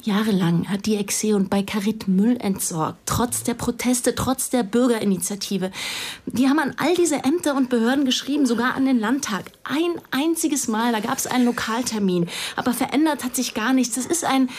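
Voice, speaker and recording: An actress playing a determined, combative police investigator in a naturalistic radio crime drama, speaking in a dry, insistent way. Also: female voice, on the high side, normal speed, a little mellow, close to an everyday voice. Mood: indignant, insistent.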